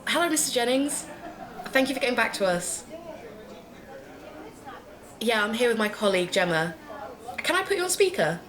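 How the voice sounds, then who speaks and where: A woman's voice: fairly high pitch, big pitch swings, normal speed, pauses often, a crowd in the background. A woman playing a polite, friendly podcast investigator in audio drama dialogue. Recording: noisy background ambience.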